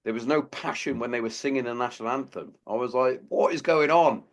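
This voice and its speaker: A man's voice: medium pitch, brisk. A man hosting a podcast in a casual, blunt and sweary chat. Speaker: podcast host.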